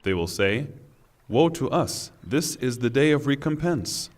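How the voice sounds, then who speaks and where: A man reading a scripture translation in a formal, solemn and deliberate way. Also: mid-range voice, expressive, in a large hall. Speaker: reader of Quran translation.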